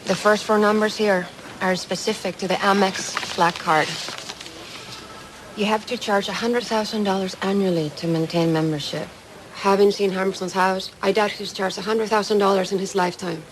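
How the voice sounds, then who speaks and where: An actress playing a cool, shrewd insurance investigator in a crime drama, composed, articulate and gently barbed. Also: female voice, mid-range voice, fairly even intonation, normal speed, noisy background, natural voice. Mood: confident.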